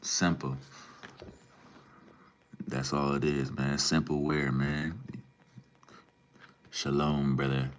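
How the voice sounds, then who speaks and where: Very deep-voiced laid-back male streamer. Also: clear, resonant tone. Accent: Minnesota. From Minneapolis, Minnesota. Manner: laid-back, relaxed slang, calls viewers bro and man. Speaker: livestreamer. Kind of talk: casual live stream chat, reading and answering viewer comments.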